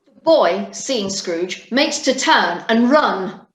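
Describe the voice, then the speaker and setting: A woman narrating an amateur radio play in a deliberate, dramatic storytelling manner. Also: medium pitch, expressive. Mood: dramatic, measured. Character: story narrator describing scenes. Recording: roomy echo, music underneath.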